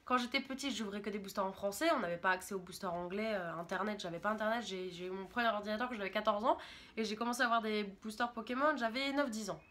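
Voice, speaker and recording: A woman's voice: medium pitch, quick pace, fairly bright tone. A French woman in her twenties, a YouTuber talking in a chatty, rambling, enthusiastic way in a casual vlog monologue. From France.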